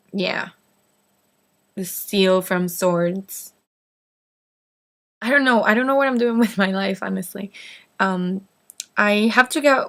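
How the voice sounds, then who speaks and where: A woman in her twenties who grew up in northeast Mexico with Spanish as her first language. She answers questions as a vlogger in a casual, rambling style, often poking fun at herself, with a medium-pitched voice. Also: creaky. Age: 20s. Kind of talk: casual chat to camera.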